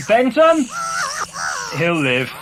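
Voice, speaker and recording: A man's voice: sits high, huge pitch swings, very slow, raised voice, background noise, exaggerated voice. A man playing a polite, flustered, eccentric Doctor in a science fiction audio drama, with a mild questioning manner. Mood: harried.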